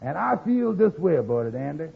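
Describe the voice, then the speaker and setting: A man's voice: on the high side, very animated, fairly quick, creaky, husky, heavily acted. An actor playing an earnest, fatherly friend who gives folksy moral advice in an old radio comedy. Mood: earnest, firm. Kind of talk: 1950s radio comedy acting. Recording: tinny old radio recording.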